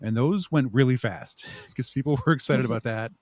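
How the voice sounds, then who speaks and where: A man talking casually and thoughtfully as an interview guest, with halting phrasing. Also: mid-range voice, no echo, clean studio sound. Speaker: podcast guest, audio drama creator.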